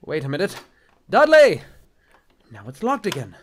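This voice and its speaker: A man's voice: high-pitched, very animated, normal speed, slight background noise. A man playing a stiff, anxious bishop in an audio drama, formal and hesitant in his speech. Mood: exasperated. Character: stiff, exasperated, anxious male bishop and husband. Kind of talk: film-adaptation audio drama acting.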